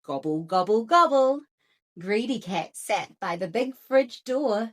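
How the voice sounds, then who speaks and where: A woman reading a children's picture book aloud with expressive, rhythmic phrasing. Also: medium pitch, measured pace. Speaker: storyteller.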